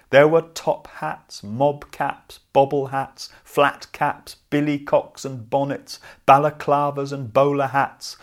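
A man telling a children's story as an oral storyteller, in measured, dramatic cadences with deliberate pauses. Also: mid-range voice.

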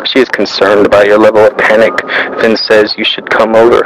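A man with a lowish, crackly voice recites a scripted piece as its narrator. His delivery is measured and stays very flat, with little rise or fall. There is noticeable noise behind him on the recording. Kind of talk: scripted literary monologue, read aloud.

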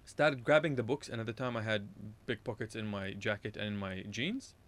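A man's voice: fairly low pitch, brisk. A man from Iraq, a radio show guest, telling a casual anecdote in a rambling way, often correcting himself and laughing at his own story.

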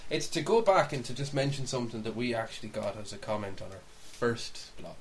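A man's voice: mid-range voice, a little fast, a little hiss or hum. A man co-hosting a podcast, chatting casually and giving opinions in a rambling way that often doubles back on itself. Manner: rambling, backtracking, mildly sweary. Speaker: podcast co-host. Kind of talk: casual opinionated chat about films and games.

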